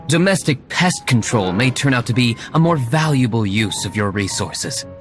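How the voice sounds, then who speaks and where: A man's voice: mid-range voice, lively intonation, moderate pace, faint music behind, lightly acted. A man voicing a stern, aloof young tavern owner in a video game, speaking in a curt, dry, formal way. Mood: dry sarcasm.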